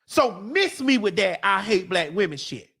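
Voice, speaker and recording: A talk-show host ranting in heated, profane, emphatic casual talk. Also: female voice, mid-range voice, big pitch swings, moderate pace, gravelly, a bit bright, breathy edge.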